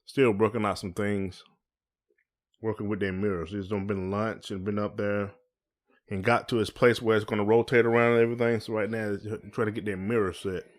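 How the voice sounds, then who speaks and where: Low-pitched American man chatting on a podcast. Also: flat delivery, a little fast. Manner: colloquial, often checks in with "you know". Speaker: podcast host. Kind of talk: casual conversational podcast talk.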